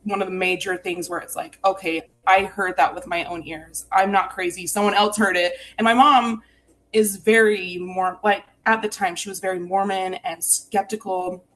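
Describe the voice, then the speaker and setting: A woman's voice: mid-range voice, fairly even intonation, normal speed, fairly bright tone, poor audio. A woman from Utah telling a personal ghost story in an earnest, candid, reflective way.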